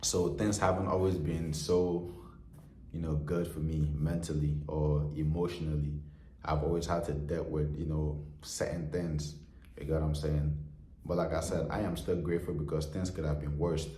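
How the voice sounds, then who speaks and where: A man's voice: very deep voice, level tone, normal speed, mellow. A man in his twenties giving an earnest motivational heart-to-heart to camera, full of "you know" fillers. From Nigeria. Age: 20s. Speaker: vlogger. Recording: echoey room.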